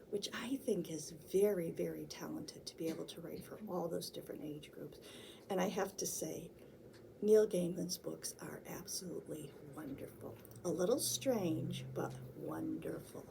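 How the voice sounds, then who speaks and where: A woman reads and chats as a children's storytime host, with simple, deliberate phrasing. Her pace is slow and her voice has a little creak in it. There is faint noise behind her. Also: fairly low pitch. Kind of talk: picture-book read-aloud for small children.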